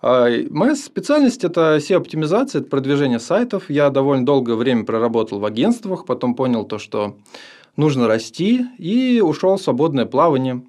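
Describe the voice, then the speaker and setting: A man's voice: medium pitch, big pitch swings, brisk, darkish tone. A man of almost thirty, a podcast guest, talking earnestly about himself with many hesitations. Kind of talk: casual interview.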